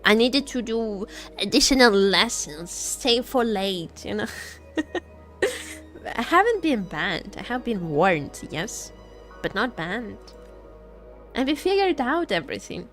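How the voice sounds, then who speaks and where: A woman's voice: fairly high pitch, very animated, slow, a bit bright. A woman game streamer chatting casually and playfully over gameplay, often repeating her phrases. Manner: playful, repeats phrases, teasing role-play as a vampire. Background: video game music.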